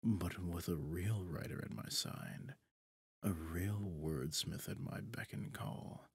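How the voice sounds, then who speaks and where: A possessive demon lord for an ASMR roleplay, performed by a man. His voice is very deep and gravelly, close and dry. He speaks with pompous, smug deliberation, sinking into breathy half-whispers. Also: takes it slowly, no echo, full character voice. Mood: smug, scheming. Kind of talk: scripted ASMR roleplay monologue.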